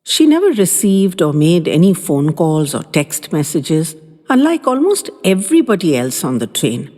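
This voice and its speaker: A woman narrating audio fiction in an unhurried, expressive storytelling manner. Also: fairly low pitch. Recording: long reverb, faint music underneath.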